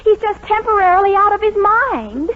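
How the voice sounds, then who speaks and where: An actress playing a lovestruck young daughter in a 1940s radio sitcom, earnest and pleading. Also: female voice, very high-pitched, lively intonation, normal speed. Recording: dull, hissy 1940s radio recording.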